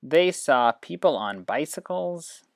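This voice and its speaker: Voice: medium pitch, expressive, slow, vocal fry. An educator giving an informal, explanatory demonstration with read-aloud passages. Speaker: educator demonstrating reading apps. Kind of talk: instructional screen demo with read-aloud passages.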